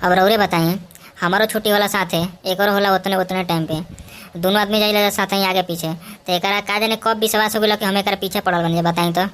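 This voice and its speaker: A woman's voice: medium pitch, fairly even intonation, brisk, a bit bright, lightly acted. Woman voicing a cheeky Bhojpuri schoolboy. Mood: indignant protesting. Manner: protesting, brash, colloquial. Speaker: voice actor. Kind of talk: cartoon comedy dialogue.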